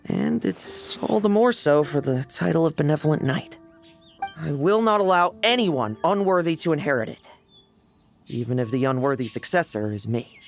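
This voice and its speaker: A man's voice: fairly high pitch, expressive, normal speed, gravelly, faint music behind. A man voicing a playful, cocky young knight in an anime game, with a teasing, sardonic, theatrical delivery. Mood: wry, confident. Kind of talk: anime game character voice acting.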